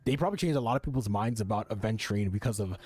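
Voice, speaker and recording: A man's voice: lowish voice, animated, very fast, darkish tone. A man streaming a video game, giving casual live commentary and musing aloud with offhand reactions. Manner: offhand reactions, muses aloud, mild swearing.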